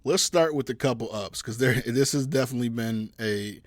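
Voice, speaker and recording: A young man co-hosting a podcast about fatherhood, talking casually about his toddler in an easygoing, halting way with many restarts. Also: mid-range voice, no room sound. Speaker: podcast co-host. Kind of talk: casual chat.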